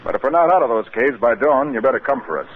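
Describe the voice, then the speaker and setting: An American actor playing a resolute, rational doctor in 1950s radio drama style. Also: male voice, medium pitch, quick pace, lightly acted. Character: resolute, rational male doctor leading a search. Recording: tinny, hissy 1950s radio recording.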